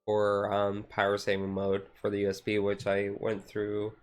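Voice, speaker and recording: A man streamer chatting casually in a chill, low-key livestream, rambling along with hesitant pauses. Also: completely flat delivery. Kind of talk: casual chat, ASMR-style chill livestream.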